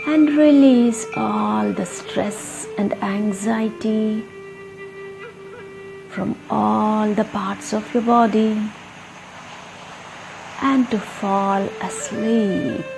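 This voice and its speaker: Female meditation guide: extremely unhurried, soothing delivery in short spaced phrases, faint music underneath. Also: mid-range voice, gravelly, rough recording. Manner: soothing, gentle instruction with long pauses. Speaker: yoga meditation guide and channel host. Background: soft instrumental music.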